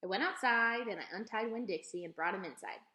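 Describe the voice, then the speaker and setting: A woman reading a children's book aloud as an expressive storyteller. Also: mid-range voice, a little fast. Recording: echoey room.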